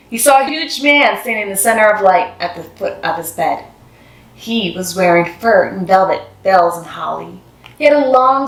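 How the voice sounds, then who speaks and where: A woman's voice: mid-range voice, a bit bright. A woman narrating a children's story expressively, as a read-aloud host. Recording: roomy echo, music behind.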